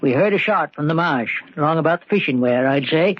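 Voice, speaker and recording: A man's voice: on the high side, animated, brisk. An actor playing a stubborn, doubting country handyman with a rural American accent and a folksy, hesitant but dogged drawl, in old radio drama style. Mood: puzzled, dogged. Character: stubborn, doubting, slow-reasoning rustic handyman. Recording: dull, band-limited old radio recording.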